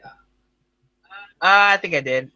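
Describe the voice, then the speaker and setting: A man co-hosting a casual online panel, excitable and quick to exclaim. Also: sits high, clean tone, no room sound, dull audio. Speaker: panel co-host.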